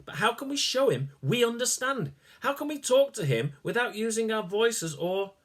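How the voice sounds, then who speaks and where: A man voicing an excitable young boy hero in a storybook reading. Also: high voice, moderate pace, pitched above the performer's own voice. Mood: urgent.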